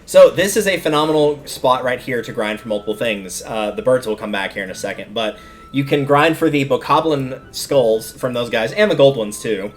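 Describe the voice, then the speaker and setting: A man's voice: medium pitch, lively intonation, moderate pace. Male game streamer, mid-range voice, casual rambling explainer style, light music underneath. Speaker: livestreamer. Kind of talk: casual video game livestream commentary.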